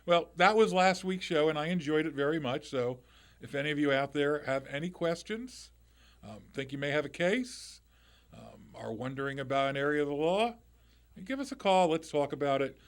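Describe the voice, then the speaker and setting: A middle-aged man with a loud voice, hosting a live call-in radio show as a lawyer, chatting in a loose, conversational way with restarts and asides into a studio mic. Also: fairly high pitch, lively intonation, normal speed. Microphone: studio microphone.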